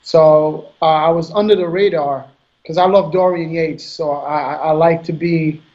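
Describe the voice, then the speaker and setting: Man, 30s, from Haiti; soft-spoken, halting interview guest, a bit high, lo-fi sound. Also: steady tone. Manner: soft-spoken, hesitant, repeats words. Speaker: guest bodybuilder. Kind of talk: casual interview answers.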